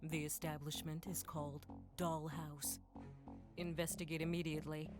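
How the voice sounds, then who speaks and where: A woman's voice: low voice, flat delivery, moderate pace, close to an everyday voice. A woman playing a stern, businesslike commander in a visual novel game, formal and authoritative as she gives orders. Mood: stern, urgent. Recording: loud music behind the voice. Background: game music.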